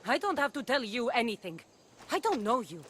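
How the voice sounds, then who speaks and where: A woman's voice: fairly high pitch, big pitch swings, fairly quick, a bit bright, slight background noise. A woman playing a cold, hostile younger warrior sister in a dramatic video game, curt and confrontational.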